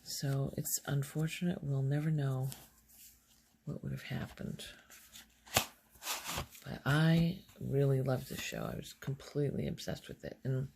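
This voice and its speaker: A woman hosting an ASMR video, speaking softly in a casual, rambling show-and-tell way and often slipping into a whisper. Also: very deep voice, lively intonation, measured pace, gravelly, long pauses, a little hiss or hum.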